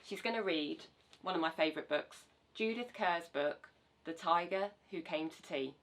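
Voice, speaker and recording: A woman's voice: fairly low pitch, normal speed. A woman informally introducing a story reader to an audience of children.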